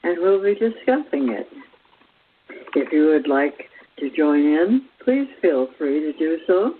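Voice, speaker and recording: A woman host reads spiritual writing aloud at a slow, deliberate pace, now and then stumbling over a word. Her voice is husky, and the audio is thin, like a phone line on the radio. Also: low-pitched, lively intonation. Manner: deliberate, halting reading with stumbles. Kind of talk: reading a spiritual book aloud.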